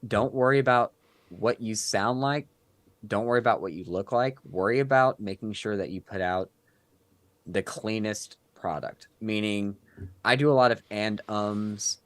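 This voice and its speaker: A man's voice: lowish voice, no room sound. A man with a nasal voice, a guest speaking casually in a podcast interview and giving advice. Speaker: guest content creator.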